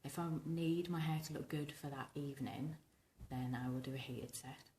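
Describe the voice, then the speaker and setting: A woman in her thirties with a husky, rumbling, slightly hoarse voice, chatting casually and ramblingly through a hairstyling tutorial to camera. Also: fairly even intonation, normal speed, warm tone, echoey room, slight background noise. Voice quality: husky, rumbling, smoky voice from tonsillitis. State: recovering from tonsillitis, hoarse. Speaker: vintage hairstyling vlogger. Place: small domestic bedroom, indoors.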